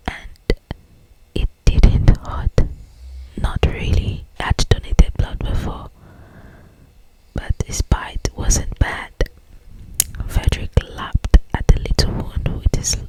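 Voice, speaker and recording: An ASMR host reads a romance novel in a constant whisper. The delivery is very slow and breathy, with drawn-out phrases and an intimate, close manner. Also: rough recording.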